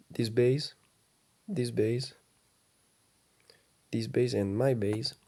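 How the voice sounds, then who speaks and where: Low, gravelly man explaining gameplay. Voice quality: low, soft voice. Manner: calm, methodical explaining. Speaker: gaming channel host. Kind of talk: gameplay commentary voice-over.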